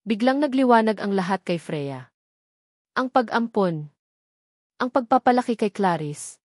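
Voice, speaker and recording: A woman's voice: medium pitch, lively intonation, a little slow, darkish tone, dry recording, clean studio sound. A woman narrating a scripted dramatic story.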